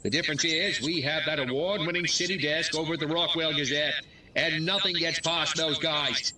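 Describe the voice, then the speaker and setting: A man's voice: a little high, fairly even intonation, normal speed, distant mic, a crowd in the background, close to an everyday voice. An American man playing a bluffing small-town mayor in a video game, giving pompous, folksy, satirical 1950s-style political speeches. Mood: pompous assurance. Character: bluffing small-town mayor, alien impostor addressing townsfolk.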